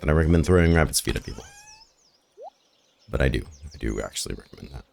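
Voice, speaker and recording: A hoarse man with a raspy, rough voice, rambling and thinking aloud as he plays through a farming game in casual commentary. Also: extremely low pitch, animated, fast talker, darkish tone, a little hiss or hum. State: hoarse from shouting the night before. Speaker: gaming video host. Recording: game music behind the voice. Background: game music.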